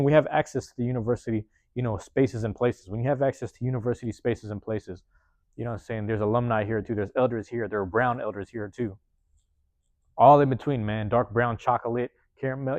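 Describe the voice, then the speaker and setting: A man hosting a podcast from a university room, giving a loose, slangy motivational monologue with lots of repetition, his voice getting a bit hoarse. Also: medium pitch, brisk, darkish tone, no echo. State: voice going hoarse. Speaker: podcast host.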